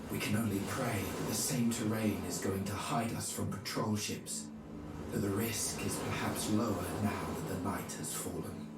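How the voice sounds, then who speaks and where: An actor playing a pious medieval monk narrating his journal for a video game in a formal, measured way. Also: fairly low pitch, steady tone, distant mic, very noisy, lightly acted. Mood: solemn, reflective. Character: male medieval monk chronicler, pious, solemn. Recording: very echoey, music behind.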